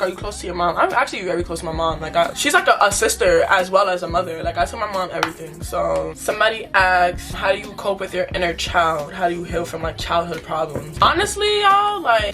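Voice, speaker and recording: Young New woman vlogger, rapid candid chatter, clear music bed underneath. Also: on the low side. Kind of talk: casual Q&A monologue. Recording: music playing clearly behind.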